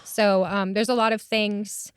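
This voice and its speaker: A woman with a small, squeaky voice, a guest telling her story plainly, earnest and stubborn. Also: level tone, measured pace, clean tone. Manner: earnest and stubborn, speaks plainly about hard things. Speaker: guest, activist and candidate. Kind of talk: personal interview talk.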